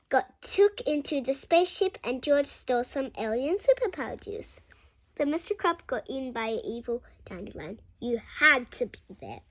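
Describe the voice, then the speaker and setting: A seven-year-old child reading a funny children's book aloud, expressively and with some halting stumbles over words. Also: high-pitched, low-quality recording. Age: 7 years old. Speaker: young reader.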